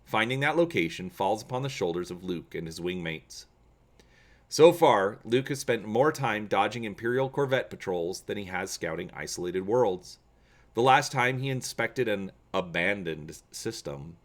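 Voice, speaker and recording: A man reading an adventure gamebook aloud as a channel host, with measured, theatrical, deliberate phrasing. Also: fairly low pitch. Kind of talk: dramatic audiobook-style reading of an adventure gamebook.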